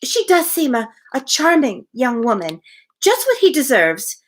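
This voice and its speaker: A woman's voice: high-pitched, very animated, a little slow, pitched above the performer's own voice, heavily acted. A woman voicing a wistful, earnest young woman from a novel, given to sighing. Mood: wistful, pleased. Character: wistful, sweet young woman sighing over lost love.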